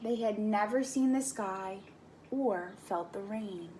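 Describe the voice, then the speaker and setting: A woman reading a picture book aloud to a child in slow, expressive narration. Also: medium pitch, a little hiss or hum. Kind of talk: storybook read-aloud.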